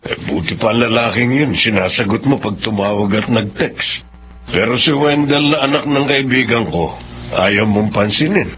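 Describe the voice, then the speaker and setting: An actor playing a fond, reasonable middle-aged father in a Filipino radio drama, gently questioning in a paternal way. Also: mid-range voice, normal speed, close to an everyday voice. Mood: probing, fond. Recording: dull lo-fi audio with background music.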